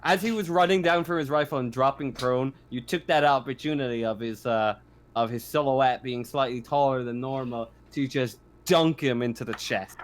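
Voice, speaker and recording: A man speaks as game master in a medium-pitched voice. He moves between casual narration and rules explanation, keeping his language loose and sweary. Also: normal speed. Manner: informal, sweary. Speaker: game master of a tabletop roleplaying game.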